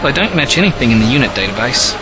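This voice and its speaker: A man's voice: mid-range voice, very animated, quick pace, poor audio. A man acting an eager, alien-obsessed computer hacker in an audio drama, explaining keenly. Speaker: actor. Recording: loud music bed behind the voice.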